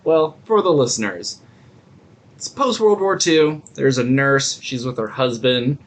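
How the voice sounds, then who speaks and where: A man's voice: medium pitch, expressive, a little slow. A man co-hosting a podcast in casual chat, telling stories in an irreverent, digressive way with some swearing. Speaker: podcast co-host.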